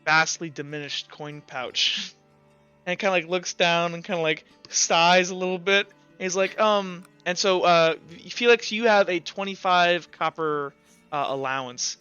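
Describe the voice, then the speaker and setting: A man narrating a tabletop roleplaying game as game master, casual, halting and informal. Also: high-pitched, bright, forceful tone, clear, resonant tone, dull audio, faint music behind.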